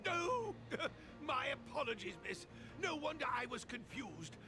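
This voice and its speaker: A man's voice: extremely high pitch, very animated, a little slow, heavily acted. A man playing a melodramatic, dutiful old male artisan in a fantasy game, florid and prone to stammering. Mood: flustered. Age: older. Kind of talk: theatrical video game voice acting. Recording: loud game music behind.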